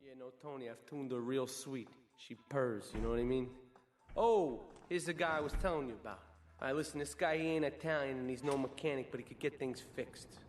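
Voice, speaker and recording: An actor playing a cocky young American mobster mechanic, talking in streetwise slang in a video game cutscene. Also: male voice, mid-range voice, fairly quick, music in the background, lightly acted. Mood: casual, confiding. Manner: streetwise, casual slangy talk. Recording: echoey reverb, background noise.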